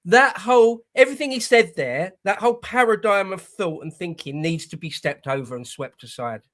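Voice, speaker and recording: A man's voice: sits high, animated, moderate pace, fairly bright tone. A British man co-hosting a political show, giving casual, opinionated commentary in a blunt, scornful way.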